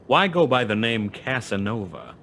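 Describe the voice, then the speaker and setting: A man's voice: mid-range voice, very animated, moderate pace, fairly bright tone, a little hiss or hum. An actor playing a wry, terse private detective who narrates his own case in a noir radio play. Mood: wry, probing. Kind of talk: 1940s noir radio play acting, first-person narration.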